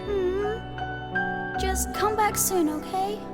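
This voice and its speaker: Timid young boy, animated fantasy game: high, slow, hesitant, gentle and innocent. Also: loud music behind the voice. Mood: anxious. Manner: hesitant, soft-hearted, innocent.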